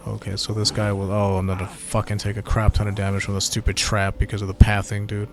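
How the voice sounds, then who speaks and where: A man's voice: lowish voice, completely flat delivery, quick pace, faint music behind. Tired-voiced man in casual game commentary. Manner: laid-back, swears at mishaps, slangy. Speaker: gameplay commentator. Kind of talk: casual let's-play commentary.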